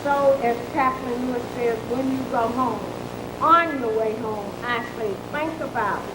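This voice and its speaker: A woman from St. Louis in the United States speaking as a guest in a motivational public address. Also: measured pace. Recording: echoey, very noisy live room tape with rumble.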